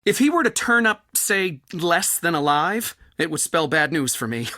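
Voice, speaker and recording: A man's voice: a little high, very animated, moderate pace, pushed and bright, no echo, studio quality. A man playing an eccentric, anxious, bookish museum curator in a video game, with a theatrical, wordy and wryly dramatic delivery. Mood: worried. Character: eccentric, bookish, anxious male culture center curator Soren.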